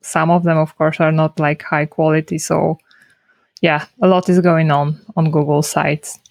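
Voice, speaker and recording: Woman on a podcast, low-ish flat voice, lots of like and restarts, dry sound. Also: moderate pace, vocal fry, dry recording. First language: Polish. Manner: hesitant, many restarts and "like" fillers. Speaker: guest, SEO consultant. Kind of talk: casual interview.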